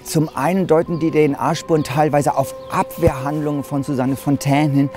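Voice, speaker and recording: 40s guy reporter, slightly high mellow voice, explaining a case, bit of music under. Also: fairly even intonation, normal speed. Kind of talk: journalistic account of a court case. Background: music bed.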